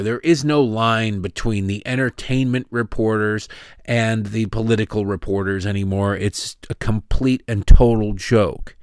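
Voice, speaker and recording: A man hosting a show, delivering sarcastic, mocking political commentary with big swings in pitch. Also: dry recording, clean studio sound. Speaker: host.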